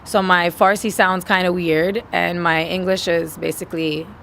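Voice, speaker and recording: A woman's voice: lowish voice, little pitch movement, normal speed, vocal fry, fairly bright tone, a little hiss or hum. A woman with a New York accent chatting casually as an interview guest, blunt and assertive in slangy speech. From Queens, New York, USA.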